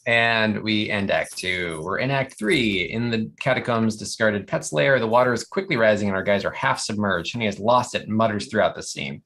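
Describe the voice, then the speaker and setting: A husky-voiced narrator reads stage directions for an animated comedy script. The voice is medium in pitch and keeps the action moving between the actors' lines. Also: normal speed, few pauses.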